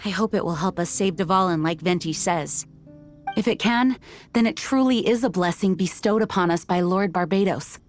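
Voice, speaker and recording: A woman's voice: fairly low pitch, moderate pace, close to an everyday voice. A woman playing an earnest, dutiful young knight leader in a video game, composed and sincere. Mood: reverent, hopeful. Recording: music behind the voice.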